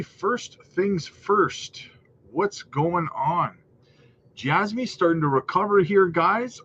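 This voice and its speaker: A slightly nasal man with a somewhat high voice runs a casual market livestream, chatty and hyped, keeps addressing his viewers as guys. The audio is dull and lo-fi, with faint noise underneath. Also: fairly bright tone. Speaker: crypto market livestream host.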